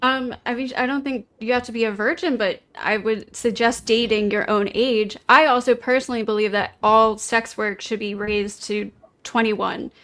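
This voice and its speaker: A woman's voice: on the high side, steady tone, normal speed, few pauses, fairly bright tone. A woman in her thirties on a debate panel, hesitant and full of "like" fillers. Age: 30s. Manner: hesitant, filler-heavy, says "like" often. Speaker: panel guest. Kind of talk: casual debate.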